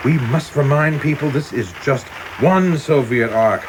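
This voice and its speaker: An actor playing an ambitious, zealous young government official in a radio drama, speaking in a formal, intense manner. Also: male voice, medium pitch, unhurried, background noise, lightly acted. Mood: deferential, eager. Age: young adult.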